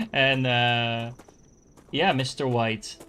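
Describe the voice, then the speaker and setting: A man's voice: mid-range voice, steady tone, very slow, fairly bright tone, faint music behind. Dutch-accented man rambling through a game. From Netherlands. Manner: thinking aloud, rambling with fillers and restarts. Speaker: gaming streamer. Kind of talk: casual gameplay commentary.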